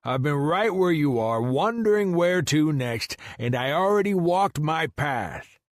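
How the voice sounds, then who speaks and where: Guy doing a supportive easygoing best friend in an audiobook, higher voice, coaxing, dry close sound. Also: animated, unhurried, no echo. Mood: encouraging, insistent. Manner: coaxing, encouraging, questioning. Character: Banks, supportive easygoing male friend on the phone. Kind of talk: audiobook character dialogue.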